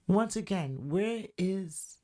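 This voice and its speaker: A woman with a deep voice hosting a podcast, giving casual reaction commentary, chatty and hedging her opinions. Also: expressive, slow, dark tone. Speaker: podcast host.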